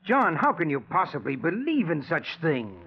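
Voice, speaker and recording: An actor playing a stubborn, skeptical middle-aged financier in an old radio drama, blustery and emphatic. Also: male voice, a little high, wildly swooping pitch, moderate pace, husky, full character voice. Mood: exasperated. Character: stubborn skeptical financier, father of grown daughter. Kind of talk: 1940s radio acting. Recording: dull old radio recording.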